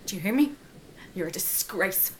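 A woman's voice: medium pitch, lively intonation, normal speed, noisy background, lightly acted. An actress playing a stern, exasperated middle-aged Irish mother in a radio drama, scolding and commanding. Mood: stern, angry. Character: stern, exasperated mother of a rebellious teenage daughter.

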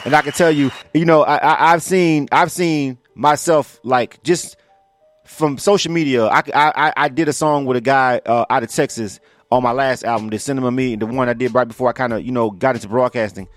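A man hosting a radio interview, talking fast and animated with slang and frequent laughs. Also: medium pitch, no echo, soft background music. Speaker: radio show host. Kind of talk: casual radio interview chat.

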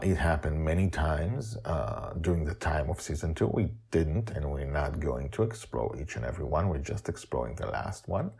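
A man narrating as author and host, expressive and theatrical, moving between storytelling and informal talk to listeners. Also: very deep voice, normal speed, gravelly, hardly any pauses, slightly rough.

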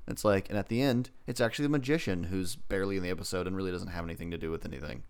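Deep, clear-voiced man, argumentative podcast co-host. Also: racing. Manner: argumentative, sarcastic. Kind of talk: casual TV review banter.

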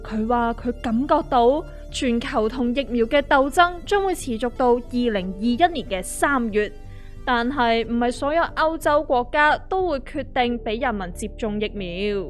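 An actress with a Hong Kong accent playing a matter-of-fact investor friend who explains things in a scripted radio drama. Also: female voice, on the high side, lively intonation, moderate pace, faint music behind, close to an everyday voice. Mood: grave explaining.

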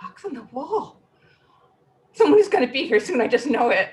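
A woman in a play reading, playing a naive, eccentric woman with halting, repetitive phrasing. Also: sits high, sing-song, darkish tone. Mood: wistful, determined. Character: naive, eccentric woman upset about a talking icebox. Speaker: actor in a staged play reading. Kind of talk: readers theatre acting.

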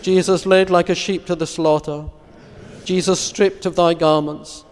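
A man solemnly reciting psalms, litanies and prayers in a measured, reverent, formal way as a prayer leader. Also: sits high, steady tone. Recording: long echoing church-like reverb.

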